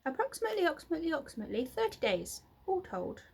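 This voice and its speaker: A woman's voice: very high voice, big pitch swings, rapid-fire, vocal fry, darkish tone, voice pushed up, exaggerated voice. A woman voicing a sly, chatty mother goose in a storybook, repeating words in bursts.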